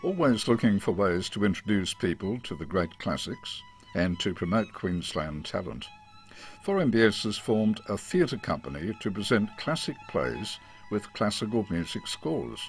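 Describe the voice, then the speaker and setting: Aussie guy doing formal promo narration, lowish voice, bit of music behind him. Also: sing-song, normal speed. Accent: Australian. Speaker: radio station presenter.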